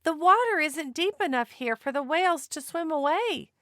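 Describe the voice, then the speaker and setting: A woman's voice: high-pitched, lively intonation, normal speed, fairly bright tone, no echo, clean studio sound, voice pushed up. A woman voicing kind, helpful beachgoers from a children's storybook, with emphatic, reassuring calls. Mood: explaining.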